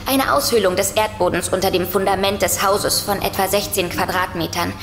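A woman's voice: mid-range voice, steady tone, brisk, a bit bright, lightly acted. An actress as an uneasy young woman, echoing. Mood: matter-of-fact. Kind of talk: German audio drama acting. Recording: echoey reverb, faint music.

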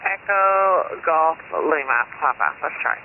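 A man with a slightly high voice talks as an air traffic radio operator in clipped aviation phraseology. He comes through a very narrow shortwave radio channel, with clear static hiss over everything. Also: lively intonation, slow pace. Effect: HF radio transmission. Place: received over long-distance HF radio. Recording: narrow-band, hissy shortwave radio reception. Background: loud static hiss.

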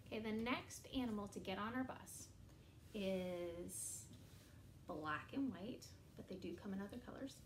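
A woman in her forties leads young children through an animal rhyme with puppets, speaking slowly and in an exaggerated sing-song way. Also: mid-range voice, roomy sound, a little hiss or hum. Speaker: early years educator. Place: small indoor room against a bare wall.

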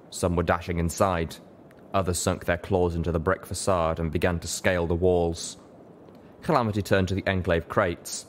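Male audiobook narrator, low register, measured and dramatic read of scripted fiction. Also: a little hiss or hum.

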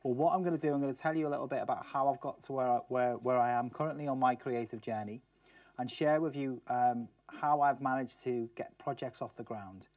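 A man's voice: mid-range voice, brisk, a little mellow, no room sound. A middle-aged man from Liverpool with a Liverpool accent, giving a chatty talk to students and asking them questions. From Liverpool, England. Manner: chatty, asks the audience questions. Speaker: guest speaker. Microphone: far camera mic, no mic seen. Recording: distant, dry studio sound.

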